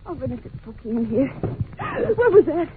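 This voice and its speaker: A woman's voice: sits high, moderate pace. A noisy, narrow-band vintage radio recording carries a young governess. She is well-mannered but anxious and curious, and speaks with earnest, theatrical expression. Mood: fearful, wondering, then panicked. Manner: polite, earnest, expressive storytelling. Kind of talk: old-time radio drama acting.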